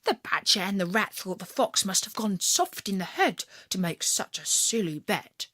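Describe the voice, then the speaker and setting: A woman narrating a children's fairytale as its storyteller, with expressive, deliberate delivery. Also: medium pitch, no room sound, studio quality.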